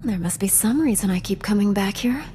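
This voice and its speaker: An actress playing a principled, composed female knight in a sci-fi video game, measured and earnest. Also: medium pitch, lively intonation, warm tone, in a large hall, a little hiss or hum, close to an everyday voice. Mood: teasing. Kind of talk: video game dialogue acting.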